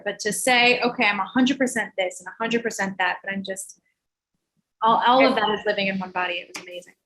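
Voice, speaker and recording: A woman's voice: medium pitch, fairly bright tone, poor audio. A woman hosting an online meetup, chatting casually with many "like" fillers. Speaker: meetup host. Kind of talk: casual group video chat.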